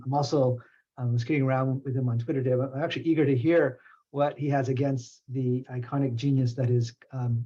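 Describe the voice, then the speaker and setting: A man hosting an academic seminar series, introducing the speaker in a halting way with many pauses and repeated words. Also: medium pitch. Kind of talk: formal introduction. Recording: slightly dull, muffled audio.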